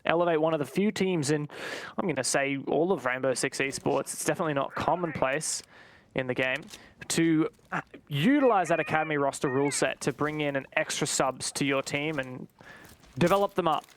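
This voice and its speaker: A man working as a play-by-play caster, commentating live on an esports match with fluent analysis that can rise into excited calls. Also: fairly high pitch, a little fast, a little hiss or hum.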